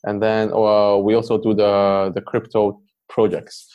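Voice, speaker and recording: Halting, muffled low-voiced man. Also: completely flat delivery. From Poland. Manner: halting, many fillers and restarts. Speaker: crypto fund investor guest. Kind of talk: interview answers.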